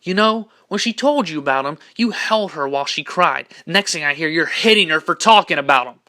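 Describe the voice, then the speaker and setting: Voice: mid-range voice, expressive, brisk, sharp tone. An amateur actor playing a loyal, protective young man in an audio drama, earnest and intense. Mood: angry, confronting. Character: loyal, protective, angry young male best friend.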